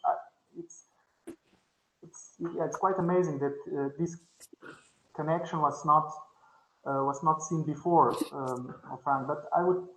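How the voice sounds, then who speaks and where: A man whose first language is Slovenian, giving a halting academic comment at a conference, with many restarts. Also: fairly high pitch, fairly bright tone, echoey room, poor audio. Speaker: conference panelist. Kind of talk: academic discussion. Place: conference session.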